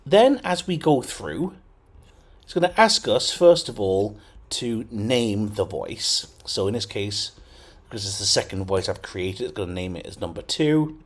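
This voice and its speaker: A man's voice: on the low side, big pitch swings, a little slow. A man hosting a casual computer tutorial, talking through steps with frequent fillers and checks like "okay?". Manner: chatty, lots of fillers and okays. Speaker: tech tutorial host. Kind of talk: casual screen-recorded tutorial.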